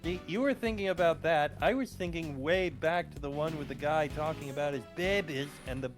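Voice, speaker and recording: Higher-pitched joking man over loud music. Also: fairly quick. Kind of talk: casual chat during a game dub.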